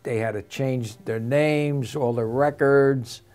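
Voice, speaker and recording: An older man, a former undercover agent, talking plainly and low-key as an interview guest, often repeating words as he starts. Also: steady tone, slow pace, a little mellow. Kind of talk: casual interview talk.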